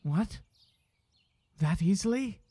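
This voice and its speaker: An actor playing an uneasy, dutiful young sheriff in a period audio drama, hesitant and deferential. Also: male voice, high-pitched, lively intonation, warm tone. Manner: hesitant, slow, earnest.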